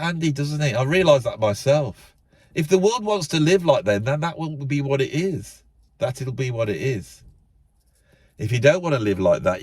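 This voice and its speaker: A man's voice: lowish voice, no room sound. Ranting man in a parked car. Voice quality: loud voice. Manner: ranting, emphatic, repeats words. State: congested, blocked-up nose. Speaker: YouTube channel host. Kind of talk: impassioned monologue to camera. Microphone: camera set up in the car.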